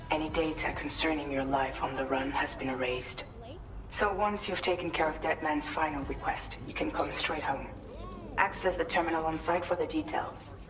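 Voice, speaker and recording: Actress as businesslike game official; very low voice, composed and reassuring, slightly distant, music clearly behind. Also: female voice, steady tone, normal speed, close to an everyday voice. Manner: composed, direct. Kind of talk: video game briefing dialogue.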